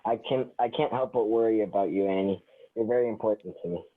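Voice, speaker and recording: A man's voice: lowish voice, fast talker, lightly acted. A man playing a guarded, dryly sardonic but protective man in an amateur sitcom table read. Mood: concerned. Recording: tinny phone-like line.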